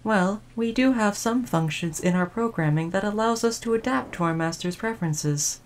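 A woman playing a gentle, dutiful female android servant in an audio roleplay, speaking in formal, polite, measured phrases. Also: medium pitch, slight background noise, close to an everyday voice. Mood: earnest, reflective. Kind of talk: audio roleplay addressed to the listener.

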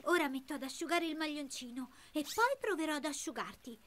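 A woman's voice: sits high, brisk, fairly bright tone. An actress playing a playful, giggly little girl in a cartoon. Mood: tender. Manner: mischievous, giggly.

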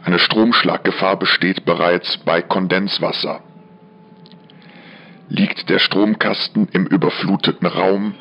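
A man reading a formal fire brigade emergency announcement in a measured, official manner, with dull radio sound. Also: low voice, gravelly, husky.

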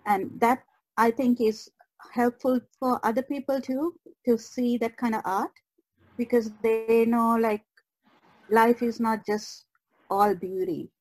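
Slow-speaking, higher-pitched woman, halting. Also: steady tone, a little mellow, low-quality recording. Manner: halting, searching for words. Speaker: guest artist. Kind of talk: reflective podcast conversation over video call. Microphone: video call.

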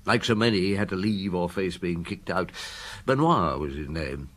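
An actor playing a French police inspector, a dry-humoured, mellow husband, in a British radio drama with wry, conversational delivery. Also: male voice, deep voice, expressive, normal speed. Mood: amused, reminiscing.

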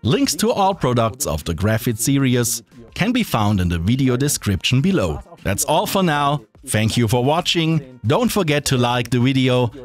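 Middle-aged German-accented presenter, lively pitch. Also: male voice, moderate pace. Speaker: product presenter. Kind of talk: scripted product presentation. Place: small dark studio with acoustic foam. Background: faint background music.

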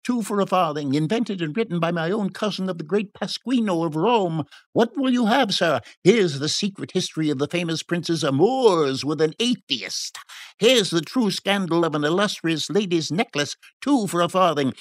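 A man acts out a cheeky storybook ballad seller. He pitches his voice up and calls out sales patter like a street clown, in a dry recording. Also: animated, normal speed, dry recording. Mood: brisk, cheeky. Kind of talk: audiobook character voice.

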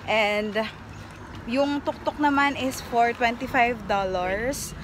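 A woman's voice: a little high, takes it slowly, a little hiss or hum. A young woman vlogging casually on her travels, chatty and upbeat, filming herself on a phone held at arm's length. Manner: chatty and upbeat, laughs often. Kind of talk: casual travel vlog, mixing English and Tagalog. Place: outdoors on city streets, then a restaurant. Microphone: phone camera held at arm's length.

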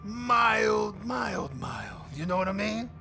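A man's voice: sits high, very animated, slow pace, vocal fry, bright, forceful tone, faint music behind, exaggerated voice. A man voicing a rudely impatient older male customer in a visual novel, drawling and grumbling. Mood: irritated.

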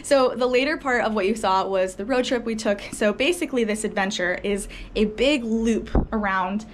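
A woman's voice: on the high side, normal speed, a little hiss or hum. A young woman talking to camera as a travel vlogger, chatty and enthusiastic with many fillers, recorded indoors on a close camera. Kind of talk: casual talk to camera. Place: indoors by a window.

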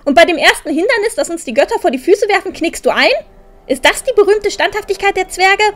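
An actress playing a proud, sharp-tongued, defiant teenage girl in a fantasy audio drama, with a pointed, haughty manner. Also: very high voice, expressive, fairly quick. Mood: indignant.

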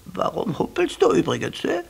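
An actor voicing a bossy talking raven in a children's audio play, croaky and scolding, given to lecturing. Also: medium pitch, huge pitch swings, moderate pace, heavily acted. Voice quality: croaky, rasping.

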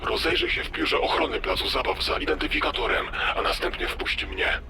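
A man's voice: medium pitch, huge pitch swings, fairly quick, creaky, bright, forceful tone, soft background music, full character voice. A man voicing an animatronic guide in a video game fan dub, speaking urgently and giving instructions through a radio filter. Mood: urgent, concerned. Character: male animatronic bear guiding a boy over radio. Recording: noisy, distant radio transmission.

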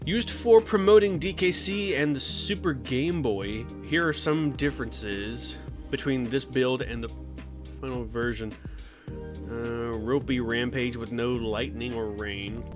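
A man streaming casually, chatting with viewers and jumping into high silly voices. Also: a little slow, over music. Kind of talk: casual live-stream chat.

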